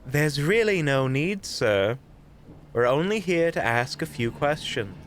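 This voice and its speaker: A man playing a witty, unflappable investigator in an audio roleplay, with a coy, theatrical, mockingly polite and drawn-out delivery. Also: a little high, animated, voice pushed up. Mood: polite, teasing. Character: flamboyant, unflappable, witty investigator in their prime. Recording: background music.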